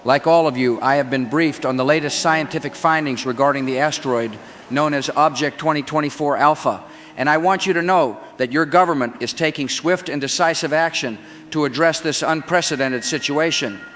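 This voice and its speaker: Male actor as crisis-time president: resolute oratory, slightly high male voice, faint background ambience. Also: fairly bright tone, a little hiss or hum, natural voice. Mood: resolute, solemn. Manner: oratorical, deliberate repetition. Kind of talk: formal televised political address.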